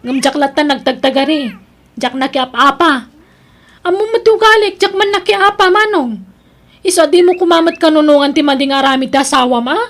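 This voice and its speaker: A woman's voice: high-pitched, moderate pace, a bit bright. A woman playing a bossy, spiteful younger sister-in-law in a radio-style drama, accusing in a Filipino accent. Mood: spiteful, taunting.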